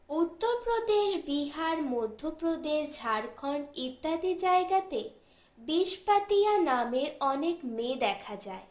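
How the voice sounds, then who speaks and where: A woman reads a short story as an audiobook narrator, in a measured, deliberate way with pauses between phrases. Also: sits high, reverberant.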